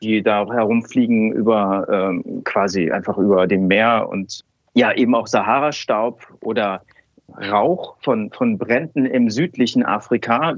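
A man's voice: on the low side, no room sound, highs cut off. A man from Cologne, a scientist, telling stories in an interview with many fillers and restarts. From Cologne, Germany. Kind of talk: relaxed conversational interview.

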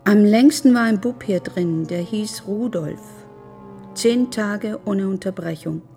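A woman playing a calm, controlling, quietly menacing captor in a German radio drama, measured and unyielding. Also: medium pitch, creaky, soft background music. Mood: calm, evasive.

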